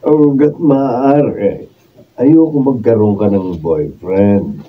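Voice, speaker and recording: A man's voice: fairly low pitch, slow pace. A man playing a worried, protective father in a radio drama. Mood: concerned, admonishing. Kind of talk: Filipino radio drama acting. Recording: distant, phone-like lo-fi, faint noise.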